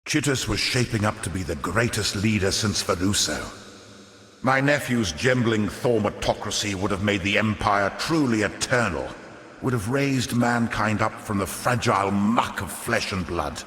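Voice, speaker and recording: An actor playing a sly, pompous older fallen aristocrat turned greedy merchant in a video game, speaking in florid, theatrical, unctuous patter. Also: male voice, lowish voice, normal speed, a bit bright, lightly acted. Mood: bitter, wistful. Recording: echoey hall reverb on voice.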